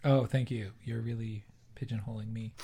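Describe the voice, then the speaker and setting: A man's voice: fairly low pitch, gravelly, darkish tone. A man co-hosting a podcast, hesitant and self-deprecating in casual chat. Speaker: podcast co-host.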